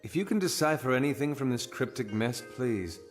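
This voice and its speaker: An actor playing a wry, world-weary exile in a video game, speaking in a dry, understated way. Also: male voice, mid-range voice, lively intonation, fairly quick, natural voice. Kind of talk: scripted video game acting. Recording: game music behind the voice. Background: music.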